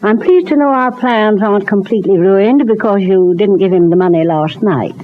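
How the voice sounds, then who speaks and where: An actress playing a stern, commanding, wealthy society matron in an old-time radio soap opera, with an imperious, clipped and exacting manner. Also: female voice, mid-range voice, normal speed, close to an everyday voice. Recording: tinny, noisy vintage radio recording.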